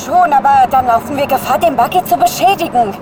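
A woman's voice: high-pitched, brisk, close to an everyday voice. An actress playing a determined woman scientist astronaut on Mars, indignant and insistent, in a radio drama. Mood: worried. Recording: heavy storm noise behind.

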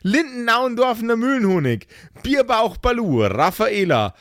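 A man with a high voice and animated melody hosts a podcast in a casual way. He takes his time and plays with words, correcting himself as he goes. The sound is close and dry. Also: moderate pace, dry recording. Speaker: game master and storyteller of a pen-and-paper podcast. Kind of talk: casual podcast sign-off and thanks.

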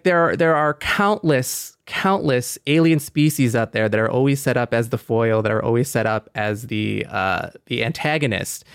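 A man's voice: mid-range voice, no room sound, clean studio sound. A man speaking as a podcast panelist in casual discussion, explaining things and repeating phrases for emphasis.

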